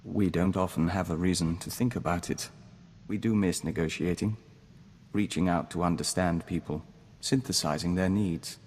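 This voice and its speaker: An actor playing a calm, dutiful diplomat bound to a hive mind, speaking formally in video game dialogue. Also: male voice, low voice, husky, big-room sound. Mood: earnest, subdued. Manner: formal, measured, speaks of himself as we.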